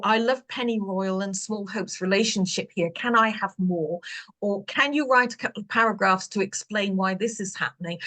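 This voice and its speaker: A woman's voice: mid-range voice, fairly bright tone. A woman author chatting with readers on a video call, rambling and self-deprecating. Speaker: author answering reader questions. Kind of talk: casual video call chat.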